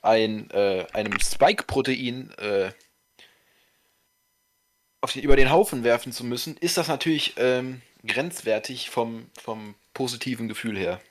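A man's voice: mid-range voice, a little slow. A teenage boy co-hosting a casual podcast, joking around and tripping over his restarts. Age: teens. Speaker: podcast co-host. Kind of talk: casual chat.

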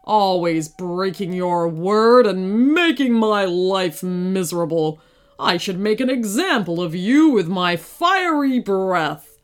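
Storybook dragon, played by a woman, huge and ill-tempered, snapping, with slow, heavily swooping delivery. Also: medium pitch, lively intonation. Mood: bitter. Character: huge bitter dragon, gruff and wary of thieves. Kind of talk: children's storybook character voice.

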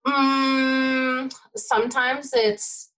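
A woman's voice: high-pitched, flat delivery, extremely slow, fairly bright tone. A woman from the Bay Area, a native Taiwanese speaker, chatting enthusiastically as a podcast guest with lots of "like" and "you know". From United States, Bay Area. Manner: enthusiastic, talks with many fillers like "like" and "you know". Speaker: podcast guest, tech consultant. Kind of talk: casual interview chat.

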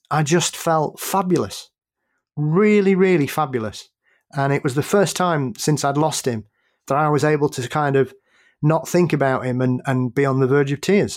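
A man appearing as a podcast guest, telling a personal story in an earnest, halting, measured way. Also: a little high. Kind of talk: casual conversation.

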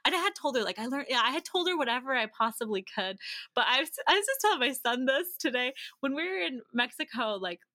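A woman's voice: high voice, very animated, quick pace, pushed and bright. A woman speaking as a podcast guest, sharing casual anecdotes and informally acting out bits of quoted dialogue.

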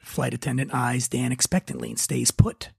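Gravelly male podcast host. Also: medium pitch, steady tone, normal speed, a little mellow, no echo, studio quality. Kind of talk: casual introduction and script narration.

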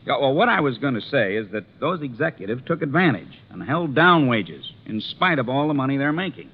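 An American actor playing a talkative small-town police sergeant in 1950s radio drama, plain-spoken and opinionated. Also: male voice, medium pitch, sing-song, fairly quick. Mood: opinionated, earnest. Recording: tinny, noisy 1950s radio recording.

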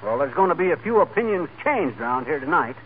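A boastful easily exasperated american husband, old radio comedy, highish voice, dull noisy 40s recording. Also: male voice, very animated, quick pace, slightly rough. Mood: boastful, flustered. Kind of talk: 1940s radio comedy acting.

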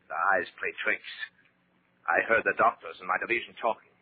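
An actor playing a bullying, secretly terrified German officer in a 1940s radio horror drama, barking commands insistently. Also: male voice, sits low, fairly quick, breathy edge. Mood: uneasy, explaining. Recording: scratchy, narrow-band 1940s radio recording.

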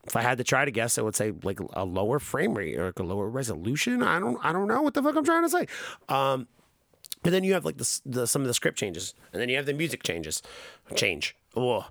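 A man's voice: mid-range voice, very animated, brisk, vocal fry, a bit bright, no room sound, studio quality. Rambling, profane man on a podcast. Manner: rambling, profane, frequent fillers. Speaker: podcast co-host. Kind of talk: casual podcast commentary.

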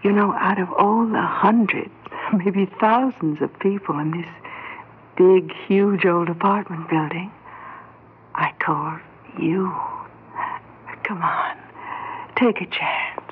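An actress playing an eerie, seductive woman in a 1960s radio drama, coaxing in breathy whispers. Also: on the low side, slow, pauses often. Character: eerie, seductive woman luring someone to a party. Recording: tinny, band-limited 1960s radio recording, faint noise.

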